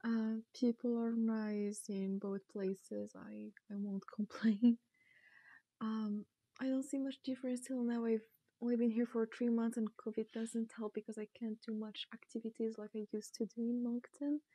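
A woman's voice: on the high side, moderate pace, dry recording. A young woman from Morocco whose first language is Arabic, chatting in an interview with frequent laughter and playful quoting of others, on a lapel mic. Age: young adult. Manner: laughs often, animated, quotes people playfully. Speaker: interviewee, newcomer. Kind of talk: casual interview talk.

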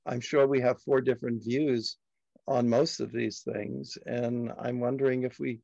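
An older man from Brooklyn, a native Yiddish speaker, hosting a reflective discussion in a halting, deliberate, frank way. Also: mid-range voice, level tone, creaky, darkish tone, no room sound. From Brooklyn, New York. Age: older, about 70s. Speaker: discussion host. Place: dim home study, indoors. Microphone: computer on video call. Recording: muffled video call audio.

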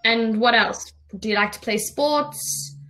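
A young woman with a South African accent leads a casual English lesson over a video call. Her voice is a touch high, and she speaks as a tutor, putting simple questions to a learner. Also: slow, bright, forceful tone, a little hiss or hum, low-quality recording. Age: young adult. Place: indoors in a room, on a video call. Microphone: webcam video call.

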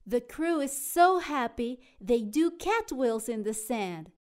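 A woman narrating a children's storybook aloud in an expressive, dramatic way. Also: fairly high pitch, takes it slowly, over a noisy crowd. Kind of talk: animated children's read-aloud.

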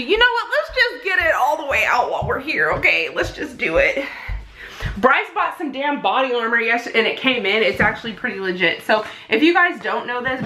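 A woman in her thirties chatting casually to viewers while filming herself at arm's length in her home kitchen, animated and slangy. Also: on the high side, low-quality recording. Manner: animated, slangy, talks straight to viewers with frequent "okay?". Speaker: vlogger. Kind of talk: casual chatty haul vlog. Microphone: handheld camera at arm's length.

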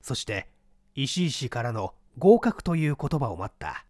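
A man narrating a mystery story in a deliberate, dramatic reading style. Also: medium pitch, very animated, darkish tone, dry recording, studio quality.

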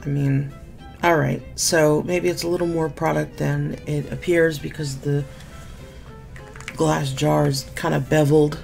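Low gravelly-voiced sick woman vlogging. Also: level tone, unhurried, darkish tone, soft background music. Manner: chatty, candid, mildly sarcastic. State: sick, losing her voice, deep cough. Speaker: beauty vlogger. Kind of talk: casual product unboxing chat. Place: small room at home, indoors.

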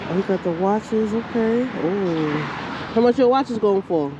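A woman's voice: medium pitch, moderate pace, highs cut off. A woman vlogging as she browses an outdoor flea market, easygoing and curious, exclaiming at the things she finds and asking vendors about prices. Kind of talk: casual vlog chat with vendors. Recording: noisy outdoor market with traffic.

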